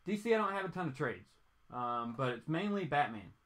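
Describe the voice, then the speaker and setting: A man with a bright, forward voice hosts a casual comics livestream. He rambles along in an animated, geeky way, talking straight to his viewers. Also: a little high, fairly quick. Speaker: host of a comics reading show.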